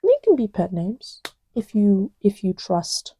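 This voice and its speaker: A woman playing a playful, distracted young girlfriend in an intimate ASMR roleplay, murmuring in a halting, teasing way. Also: mid-range voice, big pitch swings, dark tone, breathy edge, exaggerated voice. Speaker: audio roleplay voice actor.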